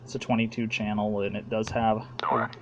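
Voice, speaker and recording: A man with an American accent giving a casual, halting, plain-spoken show-and-tell as a hobbyist making a home video. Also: fairly low pitch, little pitch movement, background noise.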